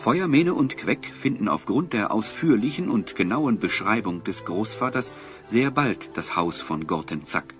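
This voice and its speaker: A man narrating a children's audio drama in measured, literary scripted storytelling. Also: mid-range voice, fairly bright tone.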